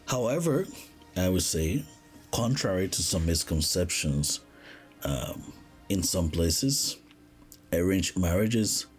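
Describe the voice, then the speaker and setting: Deep, slow man. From West Africa. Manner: reflective, unhurried, with frequent pauses and restarts. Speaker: podcast host. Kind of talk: casual podcast monologue.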